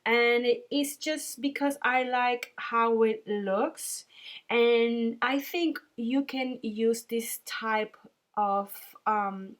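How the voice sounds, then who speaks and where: A young woman from Puerto Rico with a Puerto Rican Spanish accent, narrating a casual voice-over as she decorates a planner, pausing often with ums and small laughs. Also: medium pitch. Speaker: planner sticker shop owner.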